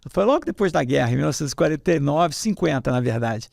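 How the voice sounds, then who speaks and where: Gravelly middle-aged man from Rio. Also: fairly high pitch, quick pace, no echo, clean studio sound. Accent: Carioca Brazilian Portuguese. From Rio de Janeiro, Brazil. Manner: rambling, many fillers and restarts, animated when telling stories. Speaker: hematologist guest. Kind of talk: casual interview storytelling.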